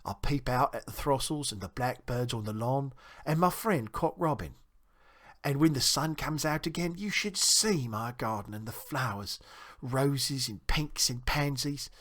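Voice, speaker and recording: A man's voice: mid-range voice, animated, unhurried, fairly bright tone. A man voicing a timid, homesick little country mouse from a storybook, speaking in a meek, earnest, wistful way. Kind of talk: storybook character reading.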